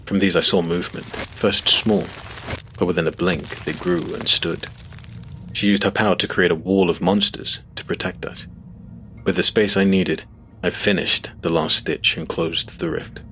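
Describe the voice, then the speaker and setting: A man playing a grave, determined hero, telling his story as dramatic, measured first-person fantasy narration. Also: low-pitched, fairly even intonation, pushed and bright, a little hiss or hum. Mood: grave, intense. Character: male monster-hunting hero recounting battle, grave, determined. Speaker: narrator and protagonist. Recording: prominent music behind the narration.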